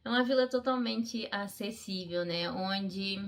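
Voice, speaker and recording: A woman's voice: medium pitch, a little slow, a little hiss or hum. A middle-aged woman, telling a personal story in a heartfelt, conversational way, as an athlete in a documentary interview. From Brazil. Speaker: Paralympic fencer, interviewee.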